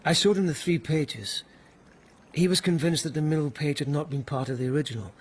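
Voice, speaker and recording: Weary, earnest palace lieutenant. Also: male voice, a little high, fairly quick, slightly rough. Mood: deferential, uneasy. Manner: hesitant, earnest, respectful. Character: weary, deferential but dogged palace police lieutenant. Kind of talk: radio period mystery drama acting.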